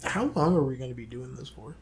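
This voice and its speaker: Young male co-host, lively and laughing, casual banter. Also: mid-range voice. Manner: animated, laughs often, quick exclamations. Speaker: podcast co-host. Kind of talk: casual chat.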